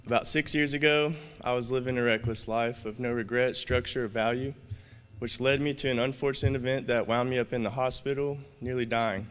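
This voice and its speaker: An earnest man in his thirties delivers a prepared speech from a stage podium. His voice is smooth and resonant, and it carries a long reverb through a large hall. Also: mid-range voice, fairly bright tone. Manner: earnest, measured and heartfelt. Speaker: student award finalist. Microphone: podium microphone.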